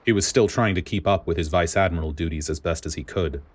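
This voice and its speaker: A man's voice: deep voice, fast talker. A man reading scripted fiction aloud as a story narrator.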